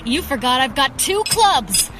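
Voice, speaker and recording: A woman's voice: high voice, sing-song, measured pace, background noise. A young woman acting as a brash, headstrong vigilante in an audio drama, taunting and eager to prove herself. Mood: cocky, defiant.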